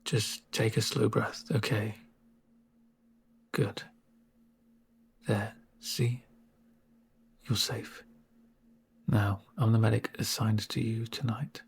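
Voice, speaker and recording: Man playing a breathy, slow-talking calm medic. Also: fairly low pitch, full character voice. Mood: soothing, calm. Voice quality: soft, breathy, hushed voice. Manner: unhurried, soothing, deadpan asides. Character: calm male corporate medic, gentle, reassuring, drily sardonic. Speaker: medic caring for a patient. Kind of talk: soft-spoken ASMR roleplay.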